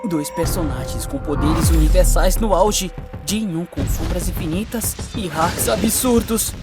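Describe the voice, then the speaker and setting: A woman's voice: fairly low pitch, measured pace. Woman voicing an earnest teenage anime boy over music. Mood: earnest, matter-of-fact. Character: earnest, analytical, mild-mannered teenage anime boy debater. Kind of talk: animated fan debate, dubbed cartoon acting.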